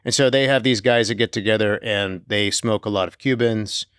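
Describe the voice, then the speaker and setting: A man's voice: on the low side, fairly even intonation, moderate pace, a bit bright, clear, resonant tone, no echo, clean studio sound. A middle-aged man co-hosting a podcast in casual, jokey conversation. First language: Turkish. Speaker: podcast co-host. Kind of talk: casual chat.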